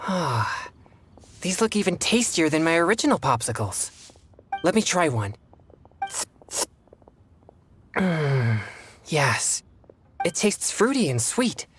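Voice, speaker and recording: A man voicing a reserved teenage boy exorcist in a video game, earnest, measured and formal in manner. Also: on the high side, sing-song, a bit bright, slight background noise. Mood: thoughtful, appreciative.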